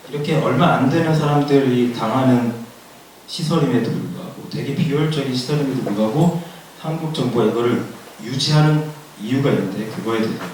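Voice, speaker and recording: Hoarse man lecturing in an echoey room. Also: medium pitch, darkish tone, a little hiss or hum. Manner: plain explanatory, repeats key words for emphasis; asks the audience questions. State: hoarse from a cold. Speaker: forum presenter. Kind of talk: public lecture. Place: forum room. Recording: echoey room, far from the mic.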